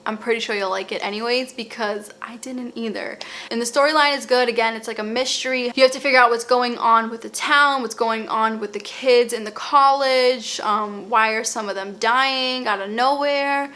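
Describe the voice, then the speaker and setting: Bright-voiced Boston woman chatting casually. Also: a little high, fairly even intonation, moderate pace. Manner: chatty, rambling with fillers and restarts. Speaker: lifestyle vlogger. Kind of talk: casual show recommendations.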